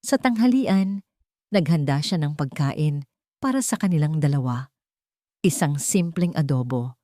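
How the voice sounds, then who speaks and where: A woman's voice: low voice, lively intonation, measured pace, dark tone, no room sound, clean studio sound. A woman with a Filipino accent reading a scripted dramatic story as its narrator.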